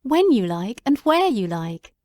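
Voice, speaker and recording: Brief: woman as an eager, easily stunned male doctor, high and exclamatory, audiobook. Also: big pitch swings, measured pace, dark tone, no echo, studio quality. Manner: breathless, exclamatory. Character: excitable, loyal male doctor friend, middle-aged.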